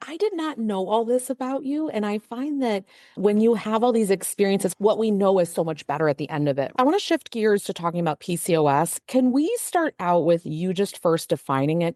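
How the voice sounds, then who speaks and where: A woman's voice: medium pitch, dark tone, dry recording, clean studio sound. A woman hosting a podcast who introduces her guest and asks questions in an enthusiastic, effusive way. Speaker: podcast host, physician. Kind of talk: casual interview hosting.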